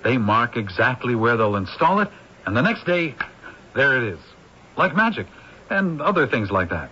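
A man's voice: mid-range voice, sing-song, normal speed, bright, forceful tone, slightly rough, heavily acted. An actor playing an affable male movie crew member who explains things in a radio drama. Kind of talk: 1970s radio drama acting. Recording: dull old radio recording, faint hiss.

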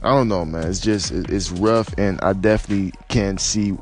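Deep-voiced, slightly raspy American man. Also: normal speed, a little mellow, faint music behind. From United States. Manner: hesitant, laid-back, rambling with frequent "you know" and "man". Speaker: podcaster or vlogger giving personal commentary. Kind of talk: casual unscripted monologue on news and life.

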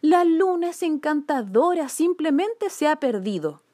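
Woman playing a high, earnest little girl. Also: sing-song, measured pace, mellow, no room sound, studio quality. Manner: polite, plaintive, earnest. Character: earnest, brave, wondering little girl searching sadly. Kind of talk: children's storybook character voice.

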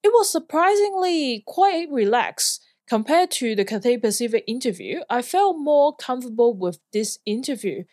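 A woman's voice: on the high side, big pitch swings, normal speed, few pauses, darkish tone, no room sound, studio quality. A woman in her thirties from Hong Kong, a podcast host telling a personal story in an earnest, plain way. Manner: earnest, plainly worded, occasional light laugh. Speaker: podcast host, flying instructor.

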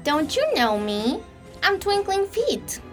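A woman voicing a small, anxious male elf who pleads slowly and softly in a storybook reading. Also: sing-song, soft background music, exaggerated voice. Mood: pleading. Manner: slow, high and pleading.

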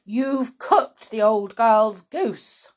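A woman's voice: a little high, expressive, extremely slow. A woman voicing a flustered, anxious mother in a storybook reading, wailing and pleading as she cries out. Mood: wailing panic. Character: flustered, anxious middle-aged mother and wife.

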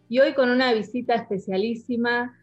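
A woman's voice: on the high side, fairly even intonation, normal speed, soft background music. Woman radio host, dull sound. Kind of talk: radio interview.

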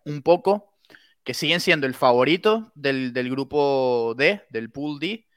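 Smooth clear guy, calm measured baseball talk, dry sound. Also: mid-range voice, no room sound. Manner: measured, analytical, polite. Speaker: baseball analyst. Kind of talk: measured analytical panel talk.

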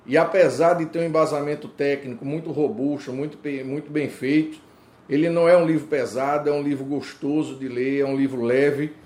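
A man hosting an interview, introducing his guest formally and asking questions in a measured, complimentary way. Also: on the high side, fairly even intonation, a little mellow. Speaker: interview host.

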